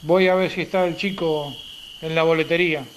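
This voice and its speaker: A man's voice: a little high, steady tone, fairly quick, lightly acted, low-quality recording. A man playing a grumpy, impatient traveller in a radio play, curt and exasperated, often repeating himself. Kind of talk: amateur radio play acting. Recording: faint hiss with night crickets. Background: crickets, night ambience.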